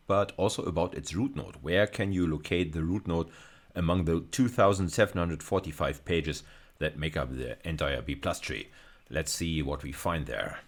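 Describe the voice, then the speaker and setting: Guy lecturing, rough voice, real low but swings around a lot, lots of uhs. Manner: thinking aloud, frequent fillers and restarts. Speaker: university lecturer. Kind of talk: recorded video lecture with screen demo.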